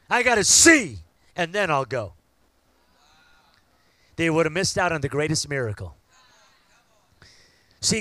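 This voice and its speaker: Man in his 50s, pastor, high-pitched, dry recording. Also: no echo. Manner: preaching with rising shouts and call-outs to the crowd. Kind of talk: sermon.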